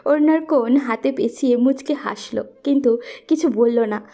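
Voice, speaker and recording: Woman narrator, high pitch, very mellow soft tone, scripted romantic storytelling. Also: normal speed.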